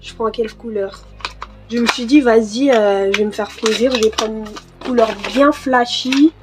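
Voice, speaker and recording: A woman's voice: fairly high pitch, steady tone, normal speed, background noise. A young woman chatting casually in a home vlog, full of hesitations and fillers while she does her nails. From born in Cameroon, raised in France. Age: young adult. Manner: hesitant, many fillers and restarts. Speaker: YouTuber. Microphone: desk mic.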